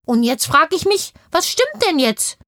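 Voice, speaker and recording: Audio play role: inquisitive small girl, high-pitched and sharp, talkative, childlike and eager. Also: big pitch swings, measured pace, no echo, clean studio sound, heavily acted. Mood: earnest, puzzled. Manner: childlike, eager, wordy storytelling. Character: curious, chatty little girl named Lotte.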